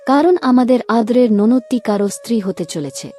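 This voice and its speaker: A woman's voice: fairly high pitch, normal speed, dark tone, lightly acted. A woman playing an affectionate, teasing sister-in-law in a cartoon family drama, with a playful manner. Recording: music under the voice.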